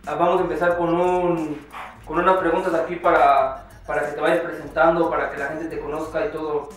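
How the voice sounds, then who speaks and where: A man's voice: on the high side, flat delivery, brisk, recorded from a distance. A young man hosting a casual interview, friendly and hesitant with many fillers. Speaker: interview host. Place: small home recording studio. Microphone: far camera mic. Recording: echoey room, voice from afar.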